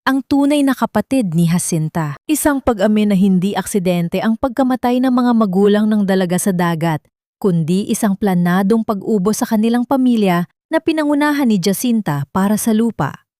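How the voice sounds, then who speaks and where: A woman's voice: mid-range voice, expressive, normal speed, warm tone, dry recording. Woman narrating drama, close dry sound. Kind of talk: dramatic scripted audio story narration.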